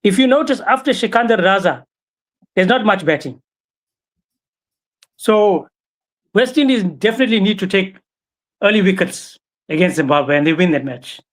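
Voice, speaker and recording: A man joining as a guest pundit, giving measured cricket analysis and often adding right and you know. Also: sits high, expressive.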